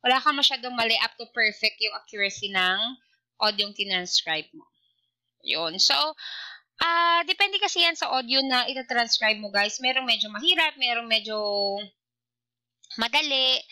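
A Filipino woman in her thirties talks casually with lots of fillers. Her voice is very bright and a bit high. She records at home on a laptop with a headset. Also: rough recording. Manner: chatty, with frequent fillers. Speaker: vlogger. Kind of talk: casual tutorial with Taglish code-switching. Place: small indoor room.

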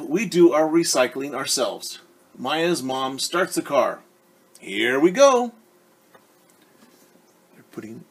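A man's voice: mid-range voice, breathy edge. A man in his forties reading a picture book aloud as a teacher, stopping to explain things simply and ask the children questions. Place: living room at home, indoors. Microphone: device camera at about arm's length.

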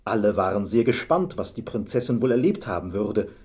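A man narrating a scripted fairy tale as a measured storyteller. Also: fairly low pitch, lively intonation, darkish tone, slightly rough.

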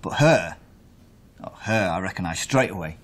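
A man with a lowish voice in a radio drama, giving his account under questioning. He is aggrieved and fixated, telling it emphatically and answering his own questions. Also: animated, normal speed, fairly bright tone. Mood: agitated, bitter. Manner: emphatic, self-questioning storytelling. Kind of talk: naturalistic radio drama acting.

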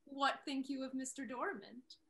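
A young woman playing a pointed, teasing confidante, a lively gentlewoman in a period stage comedy. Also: sits high, normal speed. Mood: teasing, advising. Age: young adult. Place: indoors, on a video call.

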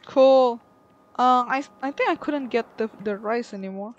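A woman's voice: fairly high pitch, unhurried, dull audio, slight background noise. A woman giving casual gameplay commentary, thinking aloud as she plays. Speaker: gaming video player.

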